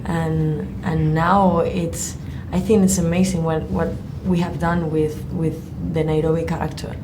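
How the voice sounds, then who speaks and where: A woman with a Spanish accent, a native Spanish speaker, answering interview questions haltingly and searching for English words. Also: low-pitched, little pitch movement, background noise. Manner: hesitant, searching for words, many restarts. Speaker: actor, interview guest.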